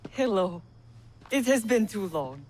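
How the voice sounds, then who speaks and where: Blunt, lively-pitched female assassin. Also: measured pace, a little hiss or hum. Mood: blunt, assured. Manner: blunt, teasing, formal phrasing without contractions. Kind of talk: video game acting.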